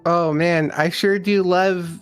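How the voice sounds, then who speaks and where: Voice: mid-range voice, steady tone, faint music behind. A guest on a stream chatting casually, joking around and laughing often. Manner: slow, drawn-out, joking.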